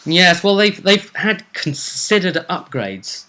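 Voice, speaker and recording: A man co-hosting a gaming vidcast, giving a casual, measured recap and commentary in slightly formal wording. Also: high-pitched, big pitch swings, pushed and bright. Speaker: co-host of a tabletop roleplaying vidcast.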